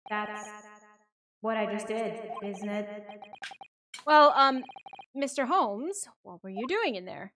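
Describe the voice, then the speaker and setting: A woman voicing a polite, earnest young male lawyer who is quick to get exasperated, in a fan dub of a game, with game music behind. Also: mid-range voice, expressive, a bit bright, slight background noise.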